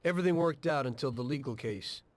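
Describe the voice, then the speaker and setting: A man's voice: mid-range voice, natural voice. An actor with an American accent playing a weary, matter-of-fact ex-mobster who tells his story in a video game. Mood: reflective. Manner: measured, plain-spoken, understated. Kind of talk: dramatic video game dialogue and narration.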